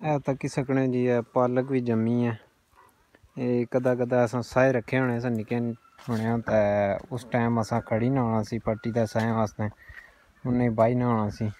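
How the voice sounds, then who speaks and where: A man's voice: mid-range voice, a little slow. Young man with a Pahari-Pothwari accent, outdoors. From Azad Kashmir. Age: young adult. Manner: relaxed, chatty narration while sowing a field. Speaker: vlogger. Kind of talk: casual village vlog commentary. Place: outdoors in green wheat fields. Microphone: phone camera, held at arm's length for the selfie shot.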